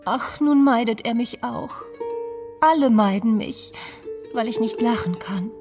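Woman as a high-voiced, forlorn young princess. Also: measured pace, music in the background. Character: sad young princess, gentle, forlorn, self-blaming.

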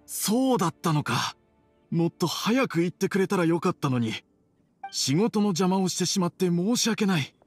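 Polite middle aged anime game townsman, apologetic, husky, kinda high voice. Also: lively intonation, normal speed, soft background music. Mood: apologetic, friendly. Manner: courteous, apologetic.

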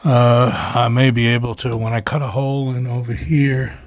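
A middle-aged man casually narrating his home repair work, unhurried and rambling with frequent uhs and throat clearing, recorded on a handheld camera in his garage workshop. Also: medium pitch, flat delivery. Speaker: DIY home repair vlogger. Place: garage workshop and attic crawl space, indoors.

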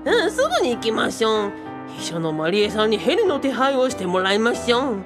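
An eager, bookish boyish fellow played by a woman chatters in a comic radio drama. The voice is fairly high and excitable, polite but with quirky sentence endings. Faint music plays underneath. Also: a little fast.

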